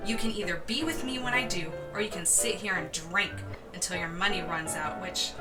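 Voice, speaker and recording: A woman's voice: fairly low pitch, normal speed, far from the mic, over loud music, lightly acted. A woman playing a cold, persuasive, haughty evil princess in an audio drama. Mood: scheming, cool.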